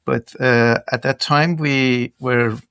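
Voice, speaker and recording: A man's voice: medium pitch, fairly even intonation, slow pace, a bit bright, clean tone, no echo. A middle-aged man from Iran with an Iranian accent, telling stories conversationally as an interview guest. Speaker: podcast guest, tech entrepreneur.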